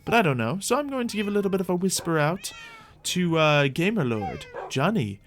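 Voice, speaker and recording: A man's voice: high-pitched, very animated, brisk. A man giving casual, rambling live gameplay commentary as a streamer, playfully talking to himself and to the animals in the game.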